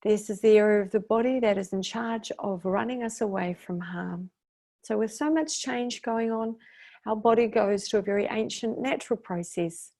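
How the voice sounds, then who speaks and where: A woman guiding a meditation as its teacher, speaking in a soothing, unhurried way with long pauses. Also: medium pitch, mellow. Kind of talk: guided meditation.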